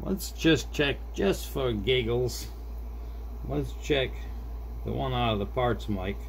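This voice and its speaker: Middle aged guy kinda muttering thru a repair at his desk, slow talker, bit of background noise. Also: medium pitch, vocal fry. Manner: unhurried, muttering to self while working. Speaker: hobbyist repair video host. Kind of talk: casual hands-on demonstration, narrating as they work. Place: small indoor desk workspace.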